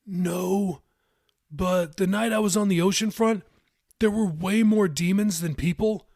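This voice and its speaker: A man's voice: sits high, steady tone, unhurried, gravelly, darkish tone, no room sound, studio quality. A man voicing a casual, matter-of-fact young male character in an audiobook. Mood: puzzled, casual.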